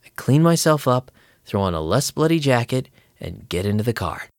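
A man's voice: medium pitch, big pitch swings, normal speed, no room sound, studio quality. An actor playing a weary, rattled vampire club DJ who narrates his night in a wry first-person audio drama style. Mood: uneasy, wry. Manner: wry, sardonic. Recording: music behind the voice.

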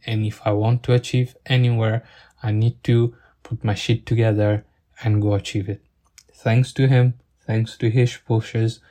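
Slow, flat-voiced young man, non-native English. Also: on the low side. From outside the UK. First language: not English. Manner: halting, searching for words, with restarts; earnest and grateful. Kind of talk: personal thank-you monologue.

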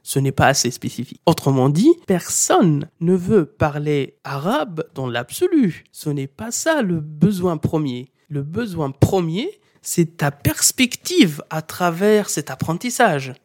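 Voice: medium pitch, sing-song, measured pace, few pauses, a little mellow. A language teacher giving a didactic talk, speaking straight to the learner and insisting on key points by repeating them. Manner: speaks directly to the listener as "tu". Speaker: Arabic teacher.

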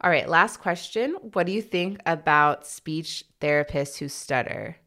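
A woman's voice: low-pitched, measured pace, pushed and bright. A woman who stutters, hosting a podcast and answering listener questions in a candid, reflective way, with blocks and repeated sounds. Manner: candid and reflective, with many fillers. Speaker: podcast host.

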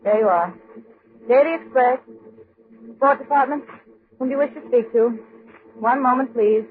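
A woman acting in a 1940s radio play as a busy newspaper switchboard operator, clipped, businesslike and briskly polite. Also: high-pitched, close to an everyday voice. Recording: noisy, tinny 1940s radio recording.

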